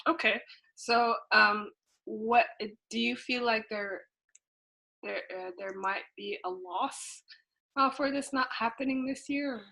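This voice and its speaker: A young woman hosts a podcast interview, asking her questions slowly. She hesitates often and fills the gaps with plenty of ums. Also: a little high, fairly even intonation, vocal fry, pushed and bright, poor audio. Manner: hesitant, with many fillers. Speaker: student interviewer, podcast host.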